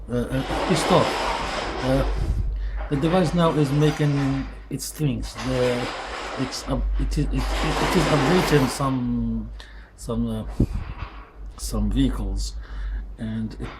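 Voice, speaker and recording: A man whose first language is Arabic, speaking in English with many fillers and restarts, talking casually about a tool in a workshop. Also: medium pitch. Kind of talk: casual product review vlog. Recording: noisy background.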